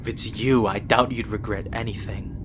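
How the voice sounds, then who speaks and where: A man's voice: lowish voice, fairly even intonation, normal speed, a bit bright, a little hiss or hum, natural voice. A man playing a principled, exasperated younger-brother vampire prince in an audio roleplay, speaking in an earnest, reproachful way. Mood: bitter, reproachful.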